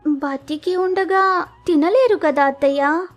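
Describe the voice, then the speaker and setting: A young daughter-in-law in a village tale, played by a woman narrator, high-pitched and polite. She coaxes sweetly and innocently, eager to please, over background music. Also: slow. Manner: polite, cheerful coaxing. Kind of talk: animated village moral story acting.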